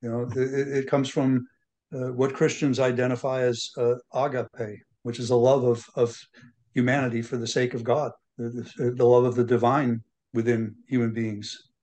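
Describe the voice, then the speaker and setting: A man speaking reflectively, halting often with fillers. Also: medium pitch, flat delivery, a little mellow. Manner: halting, many "uh"s. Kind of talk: reflective discussion.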